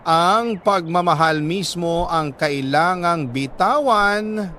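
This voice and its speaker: A Filipino man with a slightly high voice narrates a sentimental love story. He delivers it deliberately, leaving dramatic pauses between phrases. Faint noise sits behind the voice. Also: bright, forceful tone. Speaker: storyteller reading a listener's letter.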